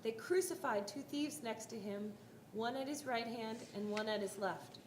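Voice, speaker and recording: A woman narrating a scripted dramatic Bible reading, telling the story in a deliberate, expressive way. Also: fairly high pitch, a little hiss or hum. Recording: roomy live hall, faint music.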